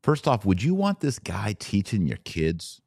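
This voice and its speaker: American man, low voice, dry close sound, podcast host, slangy, incredulous, opinionated. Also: big pitch swings, measured pace, creaky, a little mellow, dry recording. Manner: informal, slangy, mocking, incredulous. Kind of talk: opinionated religious commentary to an audience.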